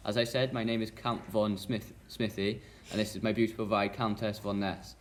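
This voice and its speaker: A man's voice: fairly low pitch, flat delivery, normal speed, lightly acted. A man playing a pompous, sinister vampire count acting as a formal host in an amateur radio drama. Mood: grandly hospitable.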